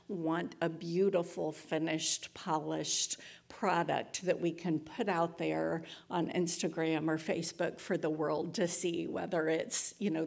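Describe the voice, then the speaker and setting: Woman, inspirational speaker, very slow pace, husky and creaky, reflective, earnest. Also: on the low side, a little mellow. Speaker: community member giving a teaching talk. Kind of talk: inspirational talk to a community, partly from notes.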